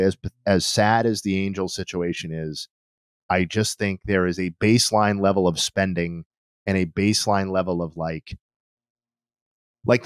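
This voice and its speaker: A man's voice: deep voice, no room sound, studio quality. A man on a sports podcast panel, making long, considered points in casual discussion.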